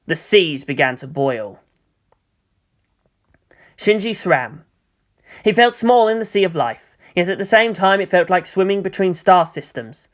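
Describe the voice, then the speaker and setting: A story narrator reading dramatic action fiction in a measured, expressive way. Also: medium pitch.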